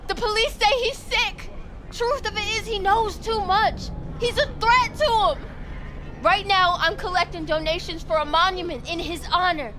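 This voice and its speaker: An actress playing a fervent, paranoid devotee of a street prophet in a video game, agitated and zealous. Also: female voice, very high voice, background noise. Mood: pleading. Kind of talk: dramatic video game voice acting.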